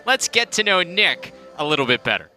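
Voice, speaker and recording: Bright-voiced man calling lacrosse. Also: on the high side, very animated, faint music behind. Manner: brisk play-by-play calls. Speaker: play-by-play commentator. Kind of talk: sports commentary.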